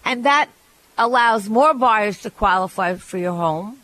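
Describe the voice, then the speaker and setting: A woman's voice: mid-range voice, expressive, measured pace, fairly bright tone, dry recording, rough recording. A woman hosting an advice radio show, talking frankly and chattily, often restarting her sentences. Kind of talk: advice call-in radio talk.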